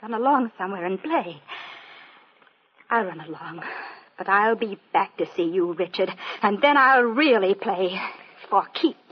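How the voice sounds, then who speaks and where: An actress playing a bitter, vengeful young would-be star in a 1940s radio drama, intense and melodramatic, on a muffled old recording. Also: female voice, mid-range voice, lively intonation, measured pace, husky. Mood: menacing.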